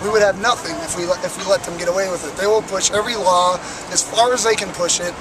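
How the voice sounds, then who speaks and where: A young man with a raspy, worn voice, speaking earnestly and with passion as a protester in an outdoor street interview, with frequent fillers. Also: sits high, steady tone, fast, crowd noise, poor audio. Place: outdoors on a city plaza by traffic.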